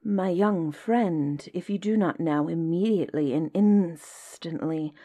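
A woman acts out a storybook rock snake who speaks very slowly and grandly. He is wordy and self-important, weighing out each long word with care. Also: fairly low pitch, warm tone. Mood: pompous, urgent. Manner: pompous, ponderous, deliberate. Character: pompous, wordy, helpful male python rock snake. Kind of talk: storybook character voice.

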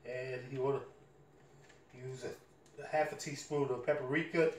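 A middle-aged man talks casually through his cooking in a halting, repetitive way. His voice sits at an average pitch. The kitchen is echoey, with clear background noise from dishes and pans. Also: fairly even intonation, a little mellow. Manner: halting, repeats himself as he works. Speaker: home cook hosting a cooking video. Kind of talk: casual cooking demonstration, talking while working. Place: small home kitchen, indoors. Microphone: camera or phone mic at varying distance. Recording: roomy kitchen echo, clattering pots, noisy.

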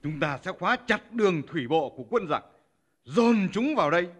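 An actor playing a loyal, confident royal commander in a historical cartoon, formal and declamatory. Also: male voice, sits high, animated, fairly quick, fairly bright tone. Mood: proud, assured. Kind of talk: animated film voice acting.

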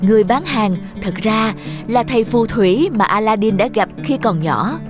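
A woman's voice: a little high, lively intonation, moderate pace. Woman storyteller over prominent music. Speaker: narrator. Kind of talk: scripted children's storytelling narration. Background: music under the voice.

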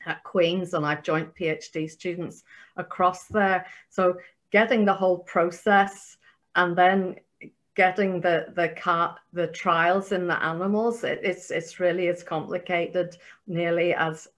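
A woman scientist on a video call answers a panel question in a lowish voice. She talks haltingly and restarts her sentences often as she works through her points. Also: fairly even intonation.

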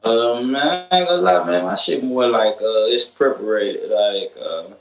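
A man's voice: mid-range voice, a little slow, creaky. A man in his twenties, a rapper guest, talking casually on a couch with loose slang and lots of fillers, heard through a video call. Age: 20s. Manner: laid-back, loose slang, many fillers. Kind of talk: casual interview chat. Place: living room couch, indoors. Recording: roomy echo, faint music.

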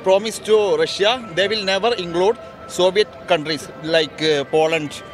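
A young man with an Indian accent and a high voice answers a street interview. He is insistent and repeats his points, speaking into a handheld mic outdoors. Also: unhurried, soft background music. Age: young adult. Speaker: interviewed visitor. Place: busy outdoor exhibition plaza. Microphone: handheld reporter microphone.

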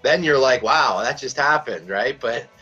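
Raspy young man on a video call. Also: mid-range voice, a little slow, soft background music. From Canada. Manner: relaxed, rambling, laughs easily. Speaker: country singer, guest. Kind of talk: casual interview chat. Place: small room at home. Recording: dull lo-fi video call audio.

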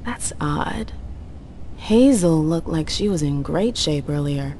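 Low-voiced, determined vampire envoy. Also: female voice, expressive, slow pace, dark tone, a little hiss or hum. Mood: cool, measured. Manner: assertive, blunt, earnest. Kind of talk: video game cutscene acting.

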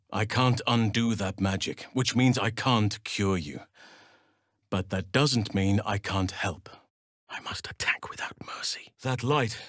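Fantasy game elf druid: lowish, dry sound, wise, steadfast, measured and formal. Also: male voice, a bit bright, no room sound. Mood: stealthy whisper. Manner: measured, formal, solemn phrasing. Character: large wise gentle elf druid man, earnest and steadfast. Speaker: video game companion character. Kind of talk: acted fantasy game dialogue.

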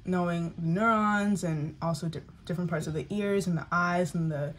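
A young woman vlogging in a casual, excitable, chatty way, sometimes dropping into whispers. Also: on the low side, normal speed, slight background noise. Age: young adult. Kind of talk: casual vlog chat.

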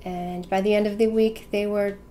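A woman's voice: mid-range voice, level tone, moderate pace, warm tone. A woman in her thirties speaking reflectively in a documentary interview at home. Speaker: adoptive parent, interviewee. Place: room at home, indoors. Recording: echoey room, distant mic, faint noise.

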